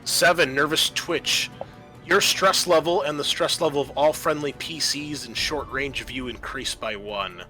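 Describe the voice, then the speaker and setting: Bright-voiced man running a game. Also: mid-range voice, a little slow, faint music behind, poor audio. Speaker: game master. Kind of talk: running a tabletop roleplaying game, casual chat.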